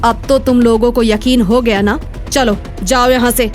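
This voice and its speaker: A woman's voice: a little high, fairly even intonation, normal speed, faint music behind, lightly acted. A woman playing a protective, trusting village mother-in-law, earnest and firm, in a dramatized moral story. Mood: firm, defiant.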